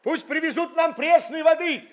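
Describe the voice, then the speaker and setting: An actor playing a commanding yet courteous sea captain in a radio play, with an authoritative manner. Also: male voice, extremely high pitch, normal speed.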